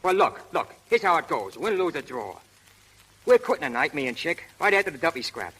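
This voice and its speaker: An actor playing a brash, hot-tempered but lovestruck prizefight manager, talking in rough street slang in 1930s radio style. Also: male voice, fairly high pitch, very animated, a little fast, bright, forceful tone, exaggerated voice, poor audio. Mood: eager, awkward. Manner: tough, slangy, ungrammatical street talk. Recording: old 1930s radio broadcast recording.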